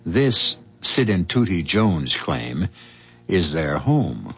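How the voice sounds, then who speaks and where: A man hosting a 1970s radio mystery as a solemn, ominous storyteller, with deliberate and portentous dramatic phrasing. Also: low-pitched, sing-song, creaky, a bit bright, husky, full character voice. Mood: ominous, descriptive. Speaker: host narrator. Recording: muffled radio broadcast with music.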